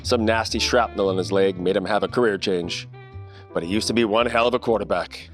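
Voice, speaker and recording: A man playing a wry, deadpan private eye and ex-cop in a parody of 1940s radio detective drama, narrating and trading wisecracks. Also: lowish voice, fairly quick, faint music behind, lightly acted.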